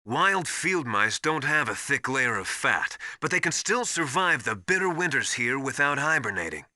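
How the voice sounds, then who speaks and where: A man's voice: medium pitch, sing-song, moderate pace, sharp tone, no echo, clean studio sound. An actor playing a seasoned survival instructor in a video game, briefing his trainee in an instructive, encouraging, matter-of-fact manner.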